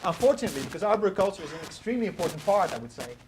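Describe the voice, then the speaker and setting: A middle-aged man lectures at a quick clip, earnest and prone to restarting words mid-thought. His voice sits a little high for a man. The recording carries clear background noise from the hall. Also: very animated. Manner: earnest, repeats words while searching for phrasing. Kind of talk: academic lecture and Q&A answers.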